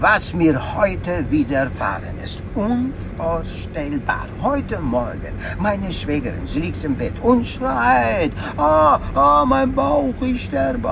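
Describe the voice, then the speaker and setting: A man's voice: sits high, gravelly, noisy background, exaggerated voice. A man in a radio play acting a fawning, gushing Soviet taxi driver who rambles through excuses. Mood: mock wailing. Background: vehicle ambience.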